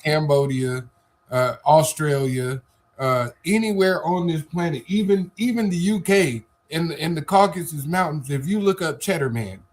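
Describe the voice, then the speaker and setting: A man's voice: on the high side, normal speed. A man co-hosting a live stream talk, arguing assertively with rhetorical questions and repeated starts. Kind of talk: casual debate chat.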